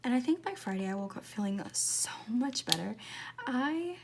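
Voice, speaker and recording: A woman in her twenties chatting casually in a rambling, confiding way, laughing often and slipping into soft whispers as she talks through decorating her planner. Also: on the high side, animated, normal speed, vocal fry, background noise. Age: 20s. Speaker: planner vlogger.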